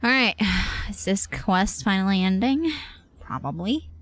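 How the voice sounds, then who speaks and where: Slow-paced woman streamer chatting casually. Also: medium pitch, sing-song, a bit bright. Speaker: game livestreamer. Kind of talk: casual live commentary over a game. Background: game music.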